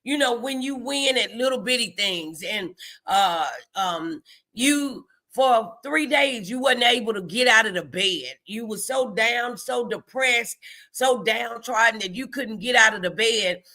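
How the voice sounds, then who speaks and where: A woman's voice: on the high side, animated, a little slow, pushed and bright. An American woman hosting a motivational morning live show, speaking in an emphatic, encouraging, faith-filled way and repeating phrases for effect.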